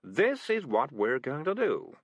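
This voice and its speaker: A man's voice: medium pitch, huge pitch swings, measured pace, no room sound, studio quality, pitched above the performer's own voice, exaggerated voice. Morris, a man voicing a scheming street tomcat. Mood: commanding. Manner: wheedling, smooth-talking. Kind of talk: audiobook character voice.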